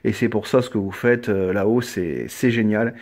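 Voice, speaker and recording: A man's voice: fairly low pitch, normal speed, darkish tone. A man chatting casually as an amateur radio operator, earnest and hesitant, often repeating himself as he goes.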